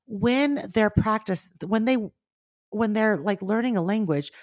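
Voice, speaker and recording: A woman in her thirties whose native tongue is Mandarin, co-hosting a casual faith podcast with earnest, animated talk that speeds up in bursts. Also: medium pitch, measured pace, no echo, clean studio sound. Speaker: podcast co-host.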